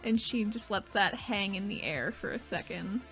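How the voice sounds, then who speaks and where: A woman's voice: medium pitch, moderate pace, a bit bright, soft background music, rough recording. A woman playing a tabletop role-playing game, chatting casually and narrating what her character does.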